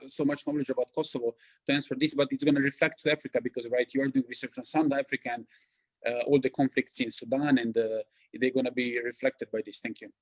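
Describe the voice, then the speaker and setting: Guy asking a long question at a lecture, middle pitch, lots of uh and um. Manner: halting, with many fillers. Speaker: audience member asking a question.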